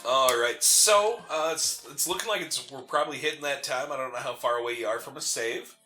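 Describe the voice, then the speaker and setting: A man co-hosting a gaming show, talking casually in a halting way with many digressions. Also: mid-range voice, sharp tone. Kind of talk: casual chat during video game commentary. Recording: roomy echo, game music behind.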